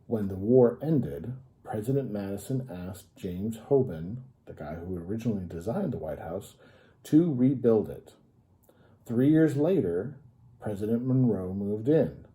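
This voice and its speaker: American male storyteller, low-pitched and very mellow, reading to kids with explanatory asides. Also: expressive. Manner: explanatory, pausing to add simple clarifications. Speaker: storyteller reading a children's history book aloud. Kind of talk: read-aloud storytelling with informal asides to young listeners.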